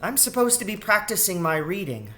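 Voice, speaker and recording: Man putting on a high-pitched little girl rat. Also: brisk, heavily acted. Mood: eager explaining. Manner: childish, excitable, suspicious questioning. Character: small young girl rat, wary, chatty, naive. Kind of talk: storybook character voice in a read-aloud.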